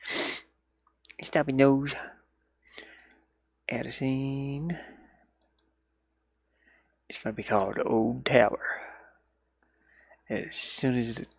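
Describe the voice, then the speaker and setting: A man's voice: mid-range voice, fairly even intonation, very slow, vocal fry, pauses often, fairly bright tone. A man in casual solo livestream chat, rambling and muttering as he thinks aloud while working, recorded inside a van. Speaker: livestreamer and indie game developer.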